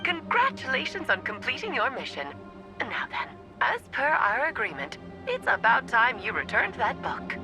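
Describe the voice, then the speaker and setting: A woman's voice: fairly high pitch, huge pitch swings, moderate pace. Poised, knowing scholar woman. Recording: game audio with clear music behind.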